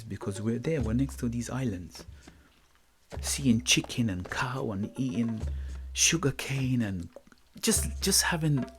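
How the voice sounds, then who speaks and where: A middle-aged man from Guyana gives a motivational podcast talk in a mid-pitched voice. He sounds reflective, often repeats and restarts his words, and keeps checking in with the listener. Faint music plays behind him. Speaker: podcast host.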